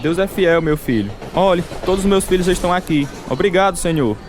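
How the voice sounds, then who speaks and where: A man's voice: high-pitched, lively intonation, brisk, slight background noise. A man with a Brazilian accent playing a devout, serene older Russian pastor and father freed from prison, in a radio drama. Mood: grateful, serene.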